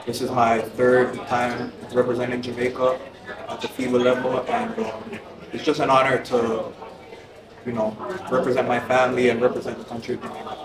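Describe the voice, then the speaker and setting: A man's voice: medium pitch, completely flat delivery, moderate pace. A man in his twenties from Canada, a basketball player, answering press questions steadily. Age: 20s. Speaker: national team basketball player. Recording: roomy, crowd noise, distant mic.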